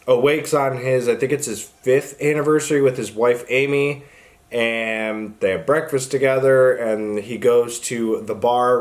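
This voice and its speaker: A man talking casually and informally to viewers as the host of a book review vlog. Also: lowish voice.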